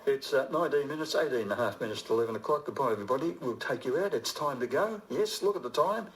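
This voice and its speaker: A man's voice: mid-range voice, lively intonation, fast talker, poor audio. An older man, talking informally and unhurriedly as a radio presenter signing off live, rambling a little. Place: radio studio.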